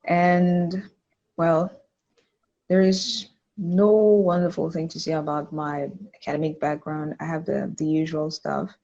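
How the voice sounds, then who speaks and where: A woman's voice: lowish voice, fairly even intonation, measured pace, warm tone, muffled recording. A woman in her twenties with a Cameroonian accent, casually answering interview questions as a podcast guest. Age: 20s.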